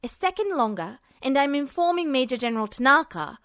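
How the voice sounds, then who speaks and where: A woman voicing a stern, suspicious male escort who speaks curt and flat, in a fan audiobook reading. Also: high-pitched, a little fast, no room sound, studio quality.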